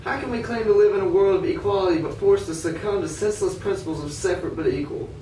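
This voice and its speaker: Man playing an earnest freed man, high-pitched. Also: fast, warm tone, natural voice. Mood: indignant. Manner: emphatic, indignant. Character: earnest, defiant freed man seeking equal rights. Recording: roomy, distant, noisy amateur recording.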